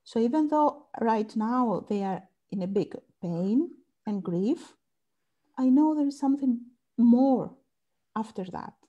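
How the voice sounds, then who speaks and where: A woman's voice: fairly high pitch, lively intonation, slow pace, mellow, dull audio. A woman from Greece with a Greek accent, a family therapist answering interview questions thoughtfully and searching for words in English. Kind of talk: interview conversation.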